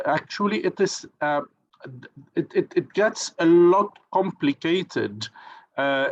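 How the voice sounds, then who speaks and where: A man who is a professor, speaking on an online conference panel, hesitant, with many fillers and restarts. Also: medium pitch. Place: online video call. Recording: dull lo-fi video call audio.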